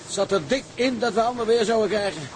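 A man playing a seasoned, calm and fatalistic ship's officer in a Dutch maritime radio drama, speaking wryly and matter-of-factly. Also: high voice, quick pace, slight background noise, lightly acted.

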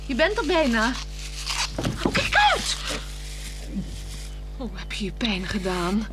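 An actress playing a playful, flirtatious married woman in a radio play, teasing and coaxing, moving between hushed words and exclamations. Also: fairly high pitch, very animated, slow. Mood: concerned, coaxing. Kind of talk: 1960s Dutch radio play acting. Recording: 1960s radio drama with music.

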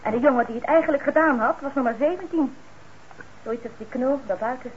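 An actress playing a fretful, bossy but caring middle-aged daughter in a radio play, nagging briskly. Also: female voice, sits high, close to an everyday voice. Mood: worried. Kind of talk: Dutch radio play acting. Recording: dull, noisy old radio recording.

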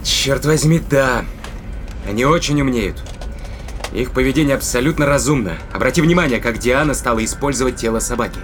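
An actor in an audio drama playing a determined spaceship captain who reasons emphatically and deliberately. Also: male voice, mid-range voice, slight background noise, lightly acted. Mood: tense, insistent.

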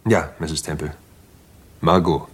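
A man's voice: very deep voice, fairly even intonation, slow, husky, soft background music, exaggerated voice. A male actor playing a grave police investigator in a vintage German detective radio play.

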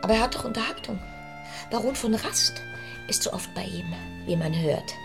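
An actress playing an anxious, reproachful pastor's wife in a radio drama, hesitant and pleading. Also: female voice, fairly high pitch, expressive, normal speed, creaky, over music. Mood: worried, probing.

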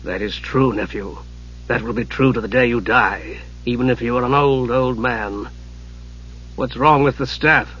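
A man voicing a tired, dry-humoured archmage uncle, speaking softly and unhurriedly in a fantasy audiobook. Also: medium pitch, moderate pace, slightly rough, muffled recording. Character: weary, dry, soft-spoken male archmage uncle.

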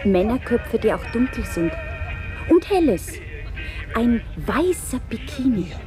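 Poetic observer in a radio play, slow clipped fragments, highish voice, music behind. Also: female voice, very animated. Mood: contemplative. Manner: clipped fragments, deliberate pauses. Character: observant woman listing impressions in fragments.

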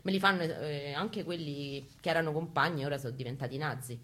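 A woman's voice: low voice, brisk, fairly bright tone. A woman reading a first-person narrative aloud in an intense, theatrical, emphatic way. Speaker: stage reader and narrator. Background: music accompaniment, guitar.

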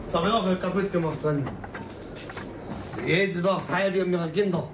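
A man's voice: high voice, normal speed. An agitated middle-aged man in a bitter personal outburst, cursing and repeating himself. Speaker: father recounting his family's loss. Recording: distant, echoey, noisy location recording.

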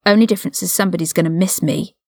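A woman's voice: medium pitch, lively intonation, fairly quick, no echo, clean studio sound, natural voice. Woman playing a defiant, hot-headed teenage wizard boy. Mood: angry. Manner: blunt, indignant, informal speech. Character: defiant eighteen-year-old male wizard prisoner, hot-headed and loyal.

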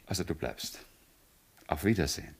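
Deep, gravelly Viennese gentleman. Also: expressive, normal speed, exaggerated voice. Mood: cordial, courteous. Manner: courteous, expansive, old-fashioned. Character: courteous Viennese gentleman welcoming back an old friend. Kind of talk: 1960s German radio play acting.

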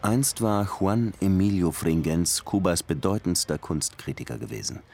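An actor playing a hard-boiled, short-tempered Cuban police detective who also narrates a German radio crime drama in a dry, sardonic way. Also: male voice, sits low, normal speed, vocal fry, darkish tone. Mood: dry, reflective. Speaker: detective and first-person narrator.

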